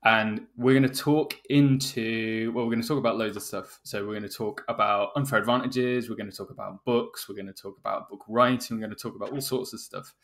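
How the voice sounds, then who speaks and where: A man's voice: medium pitch, fairly bright tone. A man hosting a podcast, introducing and interviewing in a conversational style. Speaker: podcast host.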